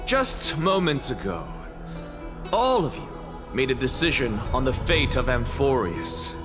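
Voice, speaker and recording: Proud theatrical scholar, strikingly animated delivery. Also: male voice, mid-range voice, music in the background. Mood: coolly theatrical. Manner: grandiose, deliberate, dramatic pauses. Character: proud, theatrical male scholar, self-assured and defiant. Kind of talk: video game voice acting.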